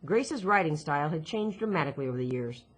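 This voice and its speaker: A woman's voice: sits low, animated, quick pace, breathy edge. A woman narrating a children's novel expressively, reading from the text. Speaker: audiobook reader, teacher. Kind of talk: expressive scripted story narration.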